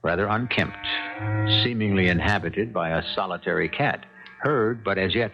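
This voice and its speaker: A man narrates a vintage radio mystery in a portentous, measured style. His deep, creaky voice rises and falls dramatically. The recording is dull, with music playing behind him.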